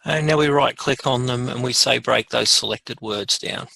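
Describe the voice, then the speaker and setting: A man presenting an informal, unscripted software tutorial, muttering asides to himself as he works. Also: medium pitch, moderate pace, creaky, no echo. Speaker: webinar presenter.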